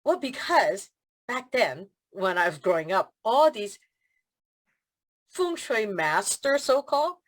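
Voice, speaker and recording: A woman from Hong Kong, a feng shui consultant chatting as a guest over a video call, telling stories with animated quoted voices and frequent laughter. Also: medium pitch, takes it slowly, long pauses. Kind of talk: casual interview chat.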